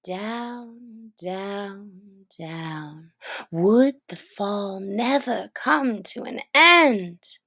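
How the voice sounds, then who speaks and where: A woman reading a children's story aloud in a gentle, soft manner that often drops to near a whisper. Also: mid-range voice, expressive, drawn-out words, no echo, clean studio sound.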